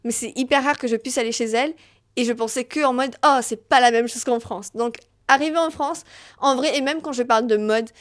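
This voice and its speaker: A woman's voice: fairly high pitch, fairly quick. A young woman with a light American accent, telling her own story on a solo podcast, chatty and full of hesitations. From raised between Tahiti, Cuba and New York. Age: young adult. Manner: chatty, many hesitations and restarts. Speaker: podcast host.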